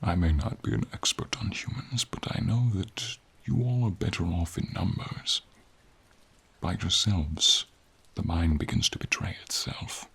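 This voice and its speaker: A man voicing a gentle, encouraging silver dragon in a fantasy ASMR roleplay, with a husky, near-whispered voice and slow, tender, courtly phrasing, over rain. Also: sits low, heavily acted. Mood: gently concerned. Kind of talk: fantasy ASMR roleplay, soft intimate acting. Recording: steady rain behind the voice.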